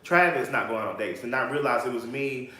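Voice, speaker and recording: A man's voice: medium pitch, brisk, bright, forceful tone, very echoey. A man in his late twenties, a podcast guest, talking candidly and bluntly in casual interview chat and swearing freely. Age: 20s.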